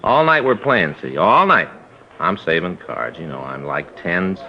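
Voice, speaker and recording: A rambling, put-upon detective partner. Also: male voice, sits low, lively intonation, measured pace, slight background noise. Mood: aggrieved. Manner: rambling, aggrieved storytelling. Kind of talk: 1950s radio drama acting. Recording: dull old radio broadcast.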